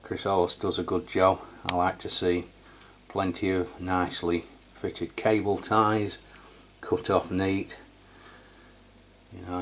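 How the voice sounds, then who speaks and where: A man's voice: deep voice, a bit bright, slight background noise. A middle-aged man giving a casual, matter-of-fact hands-on product review. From England. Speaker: radio review channel host.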